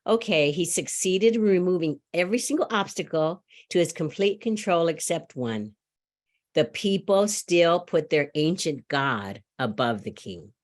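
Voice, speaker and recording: A middle-aged woman giving a sermon, loud and animated, laughing often and telling a story. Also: lowish voice, measured pace. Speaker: speaker at a church service.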